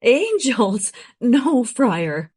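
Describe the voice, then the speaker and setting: A woman's voice: fairly high pitch, sing-song, extremely slow, darkish tone, breathy edge, exaggerated voice. A woman playing a polite, curious member of a documentary crew in a radio play. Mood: candid.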